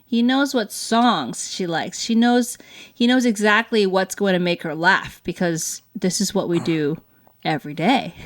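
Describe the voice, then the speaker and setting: A woman hosts a casual family show. Her voice sits at a middle pitch. She talks in an upbeat, enthusiastic, conversational way. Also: measured pace. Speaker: show host. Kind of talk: chatty co-hosted family vlog.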